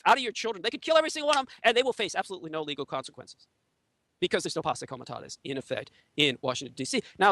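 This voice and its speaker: A man from Taiwan whose first language is Chinese, giving an intense, emphatic monologue as an interview guest, with his pitch swinging sharply and frequent filler hesitations. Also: pushed and bright, no echo. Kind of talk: conspiracy-theory monologue.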